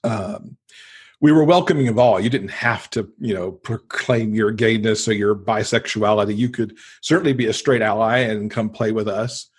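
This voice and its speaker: Husky-voiced man chatting in an interview. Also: on the low side, moderate pace. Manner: conversational, explains at length with asides. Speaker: podcast guest. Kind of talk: casual interview conversation.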